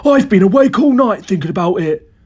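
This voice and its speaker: A narrator voicing an excitable, domineering storybook father, insistent and exclamatory. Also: medium pitch, a little slow, breathy edge, voice pushed up. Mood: excited. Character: excitable, domineering father with grand money-making schemes.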